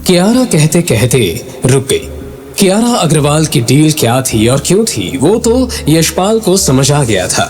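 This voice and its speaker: Man, dramatic story narrator, animated pitch movement, higher-than-average voice, scripted, background music. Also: unhurried.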